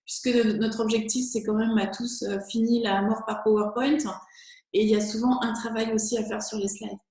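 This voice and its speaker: A woman's voice: a little high, little pitch movement, moderate pace, darkish tone. A French woman working as a speaking coach, answering interview questions with enthusiasm and occasional fillers. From France. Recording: very echoey room.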